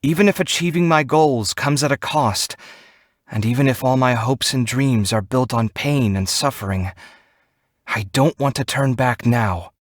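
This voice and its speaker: A man's voice: mid-range voice, a little slow, no echo, clean studio sound, lightly acted. A man voicing an earnest, proud young male architect in an anime-style video game, speaking articulately and theatrically. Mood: resolute. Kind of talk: animated anime-game voice acting.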